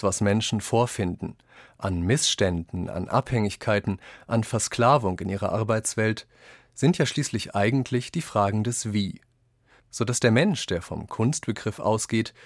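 A man reading quoted texts for a scripted radio essay, with deliberate, declamatory phrasing. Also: on the low side, dry recording, clean studio sound. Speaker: reader of quotations in a radio essay.

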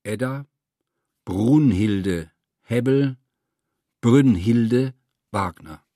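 A man reads a literary script at a very slow pace. He sets each word apart deliberately, in a dry recording with a mid-pitched voice. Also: dark tone, dry recording.

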